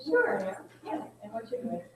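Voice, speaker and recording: A woman's voice: medium pitch, wildly swooping pitch, a little slow, noisy background. A woman hosting a forum, welcoming people with brief prompts. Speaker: event host. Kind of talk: moderating a forum. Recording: echoey hall, mic from a distance.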